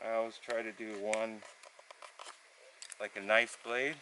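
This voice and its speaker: A man giving relaxed, hands-on instruction while working outdoors in a forest camp, with soft chuckles as he explains. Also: fairly low pitch, measured pace, a little hiss or hum, poor audio. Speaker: canoe builder.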